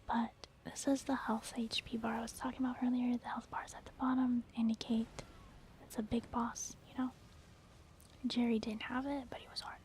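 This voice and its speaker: Whispering woman, soft ASMR game commentary. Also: a little high, flat delivery, moderate pace. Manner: informal, conversational, addressing viewers. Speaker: ASMR gaming creator.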